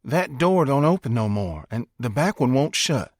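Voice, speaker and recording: A man's voice: medium pitch, expressive, moderate pace, no echo, clean studio sound. A man voicing a feisty, practical rural American mother in an audiobook, scolding and folksy as she bosses her boys. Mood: exasperated, bossy.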